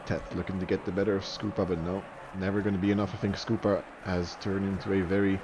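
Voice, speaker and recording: Young guy commentating an online football match, lowish voice, muffled lo-fi stream audio w faint hiss. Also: level tone, fast talker. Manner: chatty, rises sharply on goals and saves, fillers and restarts. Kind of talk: live play-by-play commentary.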